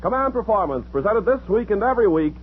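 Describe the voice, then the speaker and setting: High-pitched, showy American radio announcer man. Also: very animated, fast, narrow, tinny audio, a little hiss or hum. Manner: showy, polished patter. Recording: vintage 1940s radio recording.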